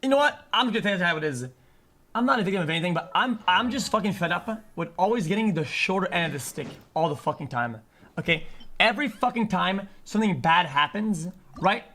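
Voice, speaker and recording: High-pitched man ranting on a livestream. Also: lively intonation, fast talker, sharp tone. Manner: agitated, repetitive, profane, stumbling over repeated words. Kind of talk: unscripted rant to chat.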